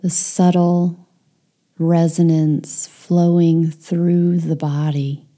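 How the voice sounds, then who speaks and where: Slow, soft-spoken woman guiding meditation. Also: lowish voice, level tone. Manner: soft, slow and soothing, with whispered phrases. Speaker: meditation guide. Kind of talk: guided meditation.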